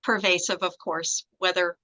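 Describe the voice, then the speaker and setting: A woman's voice: on the low side, steady tone, moderate pace, sharp tone, slight background noise. A middle-aged American woman, a law professor, reminiscing in conversation with many fillers and restarts. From United States.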